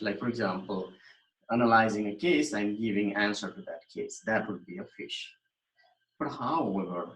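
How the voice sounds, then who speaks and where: Man lecturing in an echoey room. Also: fairly low pitch. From Nepal. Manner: thoughtful, explanatory, with frequent fillers and restarts. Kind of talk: recorded online lecture.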